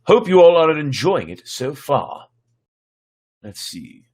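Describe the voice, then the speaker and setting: A man's voice: fairly high pitch, sing-song, takes it slowly, a little mellow, slightly rough. A man hosting a livestream in casual, chatty style. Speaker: livestream host. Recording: music behind the voice.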